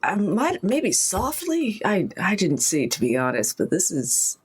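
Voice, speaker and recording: A woman's voice: fairly low pitch, huge pitch swings, unhurried, breathy edge. A woman playing in a tabletop game, chatting casually and playfully about what her character does.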